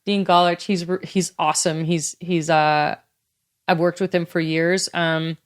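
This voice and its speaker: A woman in her fifties, a guest in a casual interview, talking in a chatty, lively way and laughing easily. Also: fairly low pitch, unhurried. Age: 50s. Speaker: guest athlete.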